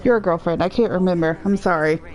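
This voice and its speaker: A woman's voice: fairly low pitch, brisk, muffled recording. A woman with a Southern US accent, chatting casually as she livestreams a game. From Southern United States. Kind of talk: casual chat while streaming a game.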